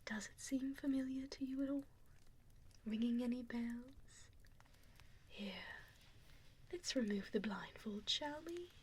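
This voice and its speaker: A woman voicing an evil, possessive female vampire in a breathy ASMR whisper, deliberate and mockingly polite, speaking close into a binaural mic. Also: sits high, darkish tone, full character voice. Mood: mock-sweet menace. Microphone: binaural ear microphone, close up. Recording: close binaural mic with audible background noise.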